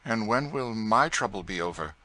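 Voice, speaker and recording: A man voicing a melancholy, wealthy gentleman who talks dryly and teasingly. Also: lowish voice, lively intonation, normal speed, no room sound, voice pushed up. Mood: wry. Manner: dry, teasing.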